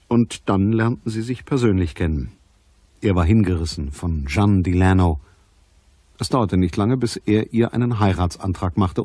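An actor playing a sceptical private detective in a radio play, speaking in a dry, measured, matter-of-fact way. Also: male voice, low-pitched, darkish tone, slightly rough, no room sound. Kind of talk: radio play narration and dialogue.

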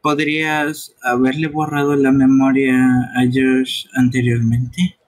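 A man's voice: medium pitch, flat delivery, slow pace, low-quality recording. A man streaming a live fan dub of a video game, reading its dialogue aloud in character. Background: game music.

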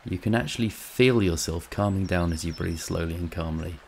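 A man narrating a scripted guided meditation in a calm, soothing, measured way, with long pauses. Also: deep voice, creaky, slight background noise.

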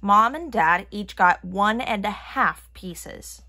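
A woman reads a children's picture book aloud at a slow, deliberate pace. Her delivery is expressive, with a lively, widely moving pitch. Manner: expressive, acting out characters' lines. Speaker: storybook reader.

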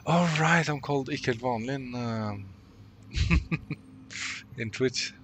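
A man's voice: mid-range voice, slow, a bit bright, a little hiss or hum. A young Norwegian man with a Norwegian accent, chatting casually and laughing with his stream while he plays. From Norway. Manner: chatty, laughs often, talks to chat. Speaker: game streamer. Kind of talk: casual live-stream chat while playing. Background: game sounds.

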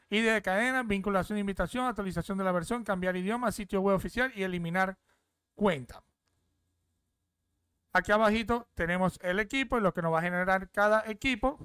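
A young man chats his way through a screen-share tour of an app from a desk at home. His voice is smooth and clean, and it sounds dry and close. He keeps checking in with his viewers as he goes. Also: high voice, pushed and bright, no echo. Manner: chatty, repeats "¿ok?" often. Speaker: crypto app reviewer. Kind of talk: casual screen-share walkthrough.

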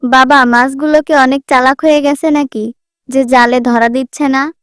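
A woman's voice: sits high, steady tone, normal speed, fairly bright tone, clear, resonant tone, no echo, studio quality. A woman voicing a supportive young village daughter in a cartoon, in an encouraging manner. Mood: puzzled. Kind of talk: Bengali cartoon voice acting.

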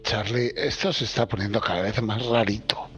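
A man's voice: on the low side, fairly even intonation, normal speed, fairly bright tone, slightly rough, highs cut off, soft background music. A man with an accent from Spain, talking casually in character as a player in a tabletop game. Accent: Spanish from Spain. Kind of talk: casual in-character roleplay talk.

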